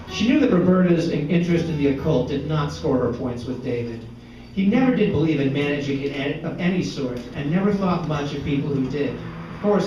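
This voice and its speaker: A man reading a story aloud as dramatic, deliberate literary narration in an indoor gallery. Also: on the high side, background noise. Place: echoey indoor art gallery. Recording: echoey room, distant mic, dull sound, music behind. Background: plucked guitar music.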